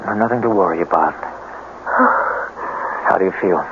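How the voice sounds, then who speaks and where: A man's voice: low voice, normal speed, narrow, tinny audio, natural voice. An actor playing a brooding, protective outcast man, earnest and intense, in an old-time radio drama on a thin, noisy broadcast recording. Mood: tender.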